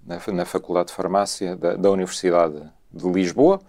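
A man with a European Portuguese accent, a scientist explaining his research in a measured way with frequent repetitions. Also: low voice, fairly even intonation, a little mellow. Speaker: scientist guest.

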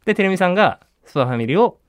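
A man's voice: high-pitched, animated, rapid-fire, no room sound, studio quality. A man co-hosting in a polite, attentive, conversational way. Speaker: co-host.

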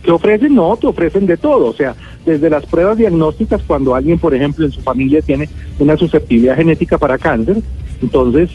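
Male expert guest, Colombian accent, husky and slightly high, emphatic explainer over a thin phone-quality connection. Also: expressive, fairly quick. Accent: Colombian Spanish. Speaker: genetics expert, interview guest. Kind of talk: radio interview, explaining. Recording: narrow phone-like line, music.

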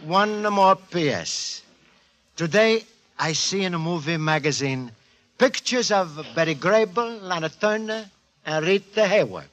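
An actor playing a cheerful, naive Italian immigrant writing home in earnest broken English, in a 1940s radio comedy. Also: male voice, high voice, expressive, unhurried, a bit bright. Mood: earnest, proud. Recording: noisy, clicky 1940s radio recording.

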